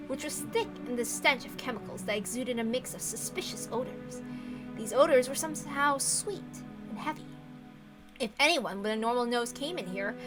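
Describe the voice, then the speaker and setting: A woman reading a visual novel aloud as expressive narration, with casual asides. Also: a little high. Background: faint music.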